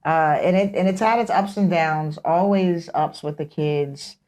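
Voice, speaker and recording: A woman's voice: low voice, a little slow, a little mellow. An American woman in her fifties talking emphatically in a conversational interview, as a guest with strong opinions. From Pittsburgh, United States. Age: 50s. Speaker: podcast guest, teacher.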